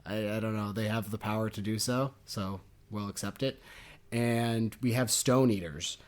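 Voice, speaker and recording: Jokey, animated young man hosting a podcast. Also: on the low side, normal speed. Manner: jokey and animated, laughs often. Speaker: podcast co-host. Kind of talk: casual book chat.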